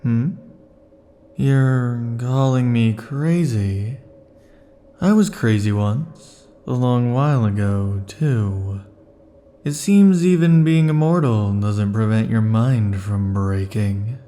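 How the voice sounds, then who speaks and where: Casting: a man playing a clingy, possessive immortal male lover; hushed, sighing, unhurried delivery, dark mellow tone. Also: mid-range voice, natural voice. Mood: brooding. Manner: soft, sighing, lingering murmur. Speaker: ASMR roleplay performer. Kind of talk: ASMR roleplay.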